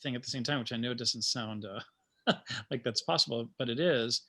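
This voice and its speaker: A man speaking informally as a guest playwright about his creative process, in a halting, thoughtful manner. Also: mid-range voice, bright, forceful tone. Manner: halting, thoughtful, chuckling asides.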